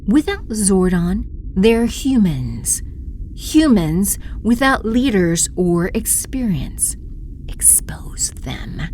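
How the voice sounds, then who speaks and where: A scheming evil sorceress acted by a woman speaks in a slow, low voice with a dark, mellow tone. She is imperious and gloating, an audio drama villain with breathy menace, recorded over faint noise. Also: big pitch swings. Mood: menacing.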